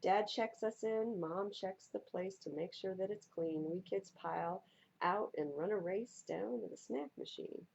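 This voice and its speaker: A woman reading a picture book aloud at a library storytime in a playful, theatrical way, with a husky voice. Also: medium pitch, measured pace, darkish tone, highs cut off. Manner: playful, theatrical, stresses and repeats words for young listeners. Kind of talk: expressive read-aloud of children's picture books.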